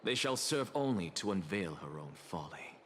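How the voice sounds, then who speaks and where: A man's voice: low-pitched, very animated, normal speed, slight background noise. A man playing an arrogant, philosophical scholar envoy in a video game, speaking formally and theatrically with haughty eloquence. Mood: haughty defiance. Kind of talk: dramatic video game voice acting.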